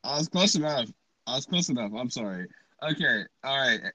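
A man's voice: medium pitch, animated, brisk, bright, forceful tone, no echo, rough recording. A young man from Texas hosting a casual podcast chat, playful and joking, laughing often. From Dallas, Texas. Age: young adult. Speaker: podcast host.

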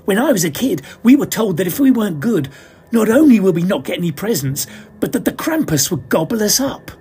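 A man's voice: sits high, very animated, brisk, darkish tone, a little hiss or hum, voice pushed up. A man voicing a nervous, earnest little stage actor who explains things anxiously. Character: timid male stage actor, worried sidekick.

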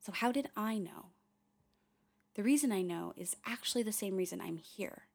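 A woman's voice: mid-range voice, animated, normal speed, gravelly, breathy edge. A woman playing a candid, wry, uneasy young filmmaker who narrates a radio play in a confiding way, with tangents and swearing. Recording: music behind the voice.

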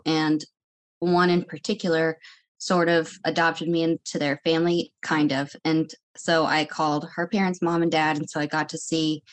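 Earnest woman in her thirties. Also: sits low. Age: 30s. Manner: earnest, reflective. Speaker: guest. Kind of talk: personal testimony.

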